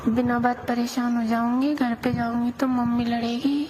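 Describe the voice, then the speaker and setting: A woman's voice: on the high side, little pitch movement, normal speed, background noise, lightly acted. A young woman playing a hesitant newlywed bride who confides plaintively in her lover, as if on an intimate phone call. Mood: plaintive, defensive. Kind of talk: intimate phone-call storytelling in audio drama.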